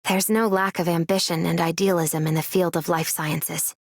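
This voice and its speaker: A serious scientist woman from a game, lowish and creaky, formal. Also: fairly even intonation, normal speed. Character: serious, composed female scientist operator. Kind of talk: scripted game character voice lines.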